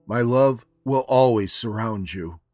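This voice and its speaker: A man voicing a loving, solemn old grandfather in a storybook read-aloud, grave and measured. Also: medium pitch, darkish tone, no echo, soft background music, natural voice. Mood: tender, solemn.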